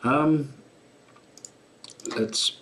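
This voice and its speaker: A man giving an informal tutorial explanation as a presenter, in a halting, self-correcting way. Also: fairly high pitch, fairly bright tone, slight background noise. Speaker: presenter of guitar gear tutorial.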